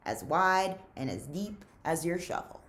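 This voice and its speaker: A woman's voice: fairly low pitch, lively intonation, a little slow, fairly bright tone. A woman voicing a stern, sneering man who oversees a camp in a storybook, curt and mocking.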